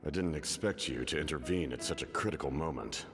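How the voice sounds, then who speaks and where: A man playing a cunning, cold, commanding officer in a video game, speaking in a measured, guarded, formal way. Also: in a large hall, over music. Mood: appraising. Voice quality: very deep, gravelly. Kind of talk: dramatic video game voice acting.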